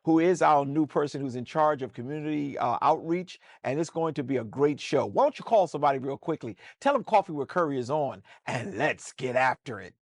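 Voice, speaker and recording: A man's voice: on the high side, expressive, fairly quick, few pauses. A man with an American accent, a pastor hosting a casual church talk show, folksy and preacherly, often repeating words as he starts a sentence.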